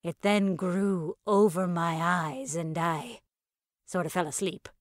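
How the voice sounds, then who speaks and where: A woman's voice: on the low side, slow, dry recording, clean studio sound. A woman playing a laid-back, spacey giver of muddled mystical advice in audio fiction, nonchalant and rambling. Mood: dreamy, nonchalant.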